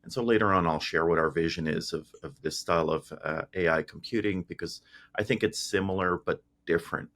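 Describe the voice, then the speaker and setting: A middle-aged man from Greece with a very low, gravelly voice. He tells his story in a relaxed, casual way, full of fillers and restarts. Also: a little fast. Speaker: guest, tech founder.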